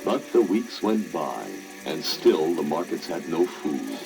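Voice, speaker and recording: A man's voice: sits low, very animated, takes it slowly, gravelly, poor audio. A man narrating a scripted children's fairy tale as a storyteller. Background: music.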